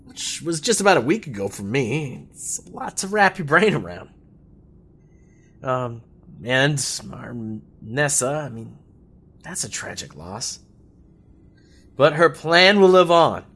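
Jovial old revolutionary, animated voice. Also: male voice, on the high side, takes it slowly, pauses often, husky, full character voice. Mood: jovial, effusive, then wistful. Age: older. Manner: chatty, theatrical, folksy. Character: jovial old male revolutionary, garrulous and scheming. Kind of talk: audio drama acting.

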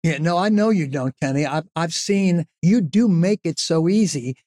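Man, 70s, podcast guest; a slightly high voice, chatty storyteller. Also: normal speed. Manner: storytelling, animated, quotes himself often. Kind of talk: casual conversation.

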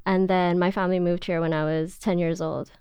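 Young woman guest with a very clear voice. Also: on the low side, little pitch movement, a little fast, a little mellow, dry recording. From Singapore. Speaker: food blogger guest.

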